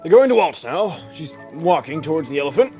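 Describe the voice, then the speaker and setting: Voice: medium pitch, big pitch swings, brisk, music in the background. An actor playing a pompous, florid old ham in a 1940s-style radio comedy. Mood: theatrical commentary. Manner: florid, exclamatory, old-fashioned phrasing. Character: pompous, theatrical comic old ham actor Vitamin Flintheart.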